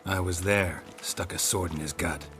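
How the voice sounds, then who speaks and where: An actor playing a grim, weary monster hunter in a video game, terse and deadpan. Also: male voice, low voice, moderate pace. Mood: dry, wary. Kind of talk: video game dialogue and narration.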